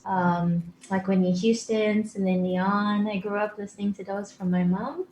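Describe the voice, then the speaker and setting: A woman's voice: mid-range voice, fairly even intonation, a little slow, slight background noise. Polite young woman in an echoey room. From Brunei. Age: 20s. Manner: polite, soft-spoken, addresses host as sir. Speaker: guest singer. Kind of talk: remote interview, casual.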